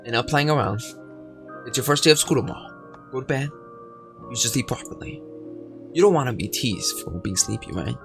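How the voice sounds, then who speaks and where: Man doing a strict mom, scolding, matter-of-fact, gravelly voice. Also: mid-range voice, expressive, brisk, long pauses, music in the background. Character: tired, strict mother.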